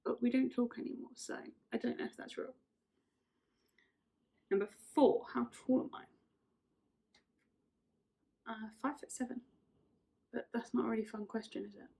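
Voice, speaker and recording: A woman's voice: on the high side, fairly even intonation, normal speed, vocal fry, long pauses, dark tone, breathy edge. A woman in her twenties, chatting casually and rambling through get-to-know-me questions, often correcting herself. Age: 20s. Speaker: vlogger.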